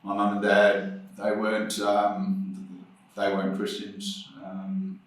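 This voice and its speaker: A man from New Zealand with a New Zealand accent, telling his story as an interview guest, hesitant with many pauses and restarts. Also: lowish voice, level tone, very echoey, recorded from a distance, poor audio.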